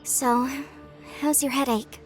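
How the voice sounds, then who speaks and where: An actress playing a caring, candid young sister with a friendly manner in a video game. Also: female voice, high-pitched, fairly even intonation, takes it slowly, over music, poor audio. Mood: caring, chatty.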